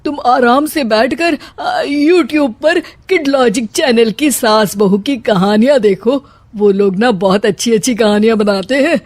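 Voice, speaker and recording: Man playing a flustered, slow-drawling cowardly father-in-law. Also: very high voice, no room sound. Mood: anxious coaxing. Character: cowardly, scheming middle-aged father-in-law, comically flustered.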